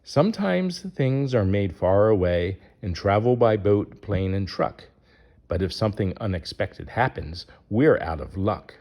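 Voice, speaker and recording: A man's voice: on the low side, expressive, unhurried, a little mellow. A man reading a rhyming children's picture book aloud as its narrator.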